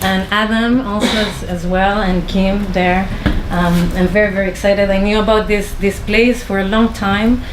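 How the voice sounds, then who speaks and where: A woman from Chile with a Spanish accent, introducing her films to an audience in a small venue, with many pauses and restarts. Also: medium pitch, steady tone, roomy sound, background noise. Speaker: filmmaker introducing her screening. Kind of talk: informal talk to an audience.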